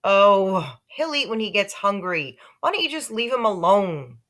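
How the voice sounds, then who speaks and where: A woman's voice: medium pitch, big pitch swings, a little slow, a bit bright, pitched above the performer's own voice. A woman voicing a stubborn, exasperated fourth-grade big brother in a storybook. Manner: emphatic, exasperated.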